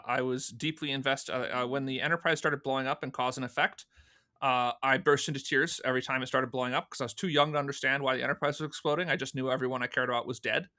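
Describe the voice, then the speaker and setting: Bright-voiced man as an interview guest. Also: mid-range voice. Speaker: podcast guest, fan audio drama producer. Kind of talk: casual conversational interview answers.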